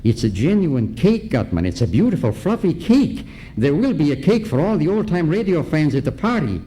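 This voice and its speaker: An actor playing an excitable, fawning, petulant crook sidekick in a comic radio parody. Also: male voice, fairly high pitch, moderate pace. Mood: delighted. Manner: fussy, excitable. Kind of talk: comic old-time radio parody acting. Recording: faint noise on live recording.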